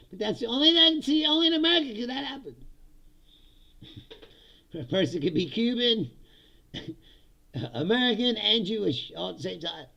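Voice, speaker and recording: Animated middle-aged man chatting on stream. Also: sits high, a little slow, creaky, long pauses, highs cut off. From Indiana. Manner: animated, laughing often, mock voices. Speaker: livestreamer. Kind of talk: casual livestream chat.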